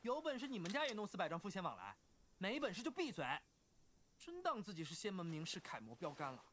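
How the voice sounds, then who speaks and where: A voice actor playing bickering teenage boy cultivators in an animated series, taunting and childish, from a played clip. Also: mid-range voice, fast talker, a little hiss or hum. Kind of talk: Mandarin animated series dub. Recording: played show clip under loud orchestral score.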